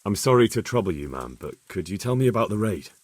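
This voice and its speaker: Casting: polite investigating soldier, game drama, lowish register, tactful delivery, dry sound. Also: male voice, sing-song, quick pace, no echo. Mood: gentle, inquiring. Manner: polite, hesitant, gently questioning. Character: earnest, courteous young soldier investigating a raid. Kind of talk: dramatic video game acting.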